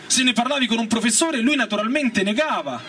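A man reading a first-person story aloud in a theatrical, colloquial way, drawing out words for emphasis with pauses. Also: extremely high pitch, slight background noise. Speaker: actor reading a novel aloud. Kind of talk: dramatic first-person literary reading over live music. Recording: distant mic, loud music over voice. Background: loud guitar and organ music.

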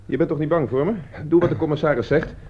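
A man's voice: a little high, fast, darkish tone, lightly acted. A man acting in a radio play as a cornered young heir, defensive, protesting and flippantly mocking. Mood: cool, mocking. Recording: dull lo-fi radio recording.

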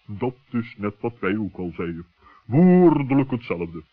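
Pompous owl, muffled radio sound. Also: male voice, on the low side, big pitch swings. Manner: pompous, wordy, deliberate phrasing. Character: pompous, wary male owl, friend of gnome. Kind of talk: children's radio play acting. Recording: muffled old narrow-band radio recording.

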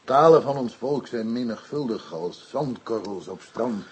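A man's voice: mid-range voice, animated, a little slow, husky. A man playing a meek, earnest foreigner in a radio drama, speaking solemnly in broken, formal grammar. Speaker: actor in a radio play. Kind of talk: Dutch radio drama acting.

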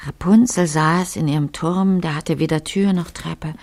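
An actress playing a middle-aged, wistful translator who muses poetically in fragments, sometimes hushed, in a literary radio play. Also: female voice, sits low, fairly even intonation, moderate pace. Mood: storytelling. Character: brooding, wistful woman translator, long married, lost in words.

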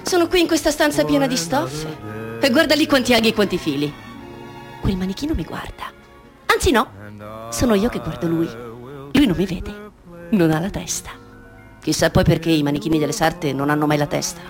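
A woman's voice: mid-range voice, fairly quick, long pauses, soft background music, natural voice. A woman playing a bored, capricious schoolgirl in a radio drama monologue, musing in a girlish, petulant manner.

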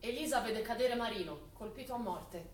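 Woman doing dramatic theatre narration, bit high, distant from the mic w faint noise. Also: lively intonation, moderate pace. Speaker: stage actress narrating. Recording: echoey, distant, faintly noisy stage recording.

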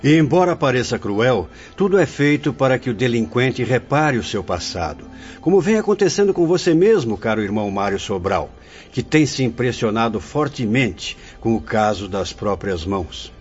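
An actor in a radio drama playing a venerable, benevolent spirit mentor who speaks in a grave, formal, preaching manner. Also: male voice, medium pitch, lively intonation, normal speed, faint music behind, close to an everyday voice. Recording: muffled radio drama recording, faint background noise.

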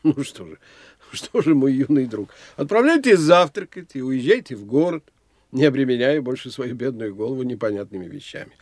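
An actor playing a learned older professor in an audio drama, lecturing in a theatrical, condescending manner. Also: male voice, mid-range voice, sing-song, a little fast, a little mellow. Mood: reassuring, intrigued. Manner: didactic, theatrical, condescending to his young friend. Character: animated, learned older professor investigating psychic phenomena.

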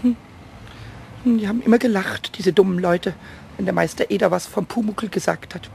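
Cheeky invisible kobold: child-like creaky squeak, excitable, very animated, children's radio play with background noise. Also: male voice, normal speed. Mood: gleeful. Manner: excitable, stammering, giggly grumbling. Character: cheeky, defiant little invisible male kobold. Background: street traffic noise.

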